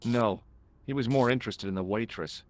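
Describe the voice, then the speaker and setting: A man's voice: mid-range voice, brisk, natural voice. A man playing an easygoing, wry young American tourist with an American accent, talking casually in a video game. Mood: casual, cooperative.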